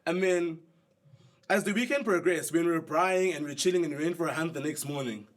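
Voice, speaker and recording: A young South African man tells a personal story in a relaxed, slangy manner. His voice sits a little high. He sounds like he is chatting with friends. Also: fairly quick. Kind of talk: documentary interview, telling a story.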